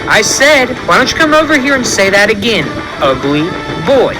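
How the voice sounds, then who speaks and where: A man voicing a feisty young heroine with a sharp, taunting tongue. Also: sits high, sing-song, a little fast, low-quality recording. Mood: sassy. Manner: taunting, sassy. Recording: music playing underneath.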